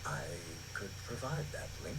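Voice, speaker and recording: A man's voice: on the low side, very animated, measured pace, poor audio. An actor playing an ambitious, condescending nobleman of an empire in a 1980s radio drama, suave with an edge of menace. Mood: ambitious, patronising. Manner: condescending, suave turning threatening. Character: smug, ambitious Imperial nobleman, menacing suitor. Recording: radio drama with loud music underneath.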